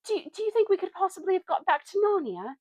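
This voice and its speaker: An anxious, excitable English schoolgirl in a children's storybook, acted out by a woman reader. The voice is pitched high, full of pleading exclamations. The sound is dry and close. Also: fast talker, no room sound. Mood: amazed.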